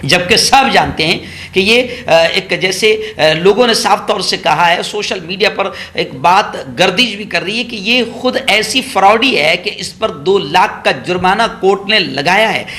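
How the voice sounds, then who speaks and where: High-pitched, bright-voiced male news commentator. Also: a little slow, few pauses. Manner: sarcastic, mocking; emphatic, repeats phrases for effect. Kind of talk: opinionated political news commentary.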